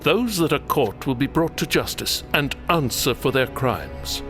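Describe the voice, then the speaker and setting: A man's voice: medium pitch, lively intonation, measured pace, close to an everyday voice. A man playing a cold, zealous abbot villain in an audio drama, pompous, imperious and menacing. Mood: cold menace. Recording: music clearly behind.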